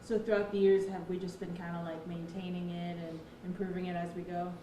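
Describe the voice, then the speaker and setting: A woman working as a reporter puts casual questions in an interview. Her voice has a bright edge and a middle pitch. The room is echoey, with some faint noise behind her. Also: little pitch movement, a little fast.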